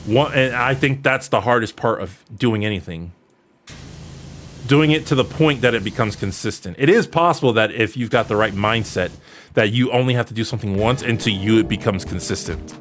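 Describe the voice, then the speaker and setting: A man's voice: on the low side, a little fast, a bit bright. A man in his early thirties chatting casually as a livestreamer answering questions, in a rambling, jokey, self-deprecating way. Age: early 30s. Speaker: streamer answering viewer questions. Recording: noticeable background noise.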